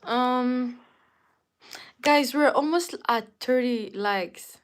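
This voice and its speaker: Slow-talking streamer woman, chatting to viewers. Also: high-pitched. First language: not English. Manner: talks straight to the chat, repeats phrases over and over. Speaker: livestreamer. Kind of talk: casual live-stream chat.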